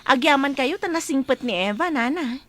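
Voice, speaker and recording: An indignant young woman confronts her elder with pointed accusations, as an actress plays her. Her voice is high and the sound is dry and close. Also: expressive, moderate pace, a bit bright, dry recording.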